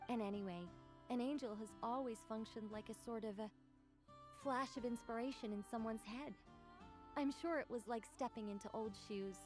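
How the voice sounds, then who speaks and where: A woman's voice: on the high side, normal speed, a little mellow, faint music behind, natural voice. A woman voicing a composed, witty woman author in a video game, speaking articulately with a conversational, lightly teasing manner. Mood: breezy, reassuring. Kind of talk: scripted game dialogue.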